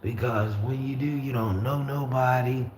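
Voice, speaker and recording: A raspy-voiced man from Florida hosting a casual livestream, talking easily and reading out viewer comments. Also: mid-range voice, fairly even intonation, normal speed. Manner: rambling, easygoing, frequent fillers and restarts. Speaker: livestream host. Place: room at home with the windows open. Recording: roomy echo with faint street noise.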